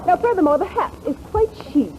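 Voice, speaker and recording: An actress playing a self-assured, fussy fashion author in 1940s radio comedy, with a refined, lecturing manner. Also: female voice, very high voice, animated, brisk, mellow, dull audio. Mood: gushing. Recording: slightly hissy 1940s radio recording.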